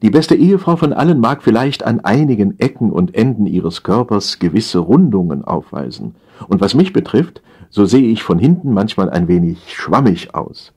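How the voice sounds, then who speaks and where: A man reading a humorous story as a scripted audiobook narration, in an expressive, theatrical storytelling manner. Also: lowish voice, moderate pace, husky.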